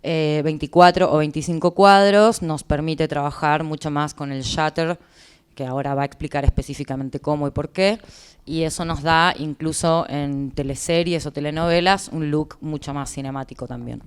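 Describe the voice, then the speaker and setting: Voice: medium pitch, fairly even intonation, moderate pace, smooth. An interpreter with an Argentine Spanish accent, explaining to listeners in consecutive turns at a conference.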